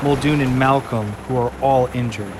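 A man reading scripted narration for an audio drama in a measured, dramatic way. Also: medium pitch, fairly even intonation, background noise.

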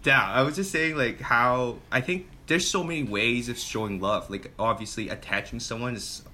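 A man's voice: medium pitch, normal speed, sharp tone, a little hiss or hum. A young man from Hong Kong chatting casually on a podcast, earnest and rambling, often laughing at himself. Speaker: podcast co-host. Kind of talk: casual chat.

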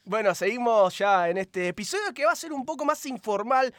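A man's voice: high-pitched, a bit bright, no echo, clean studio sound. A man with a Rioplatense Argentine accent hosting a casual film podcast, chatty and joking, often laughing. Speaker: podcast host. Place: studio.

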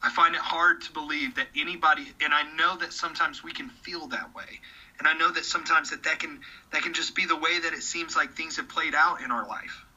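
A man's voice: on the high side, steady tone, brisk, pushed and bright, slightly rough, muffled recording. A man in his thirties in casual conversation, speaking earnestly about his beliefs and often repeating words as he starts a sentence. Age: 30s. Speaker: podcast guest.

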